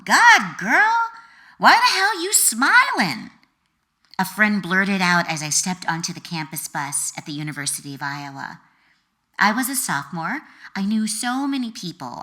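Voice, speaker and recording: An American woman reading her memoir as an author, with dramatic, deliberate phrasing and acted-out dialogue. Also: mid-range voice, sharp tone. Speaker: author reading own book. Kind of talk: expressive audiobook memoir narration.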